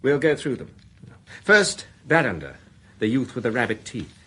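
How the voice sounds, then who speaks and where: A breezy posh adventurer, lively up-and-down voice, bright. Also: male voice, medium pitch, moderate pace, breathy edge. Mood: brisk. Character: breezy, adventurous Scottish gentleman.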